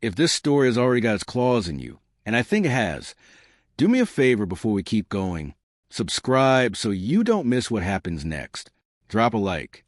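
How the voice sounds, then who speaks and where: A man's voice: lowish voice, wildly swooping pitch, normal speed, dry recording, studio quality. A man narrating a scripted dramatic story as a suspenseful storyteller who speaks straight to the listener. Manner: suspenseful, addressing listeners directly.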